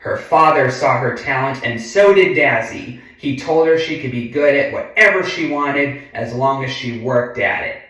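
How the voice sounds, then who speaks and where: A man reading a children's book aloud in a deliberate way, adding casual, chatty asides and murmured mm-hmms. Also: mid-range voice, a bit bright. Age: adult. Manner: slow, deliberate delivery with frequent murmured mm-hmms. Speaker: reader of a children's picture book. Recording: very echoey room, far from the mic.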